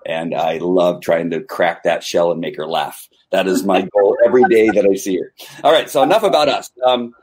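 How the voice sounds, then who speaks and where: A man's voice: low voice, normal speed, darkish tone, slightly rough. A man hosting a casual livestream chat, speaking in an earnest, playful and hesitant way. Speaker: host.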